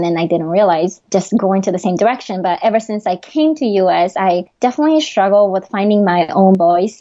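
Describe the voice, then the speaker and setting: A woman's voice: medium pitch, mellow, highs cut off. A young adult woman with a Japanese accent, a guest in a podcast interview, talking earnestly with frequent fillers and laughs.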